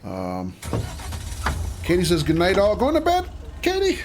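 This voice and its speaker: A man's voice: sits high, wildly swooping pitch, a little slow, slight background noise. A man streaming a game live, chatting casually with viewers, reading out their messages and greeting them by name. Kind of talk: casual livestream chat while playing.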